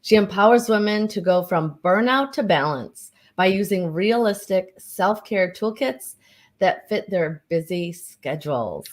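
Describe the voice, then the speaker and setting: A woman's voice: medium pitch, animated, a little slow. A woman hosting an event, enthusiastically introducing the next speaker and reading out her bio. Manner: warmly enthusiastic, reads the introduction aloud.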